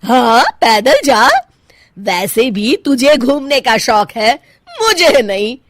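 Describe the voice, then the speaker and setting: A woman's voice: fairly high pitch, animated, slow pace, no echo. A woman voicing a bossy, fearless older mother-in-law in a cartoon moral story, scolding, taunting and commanding. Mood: stern, mocking.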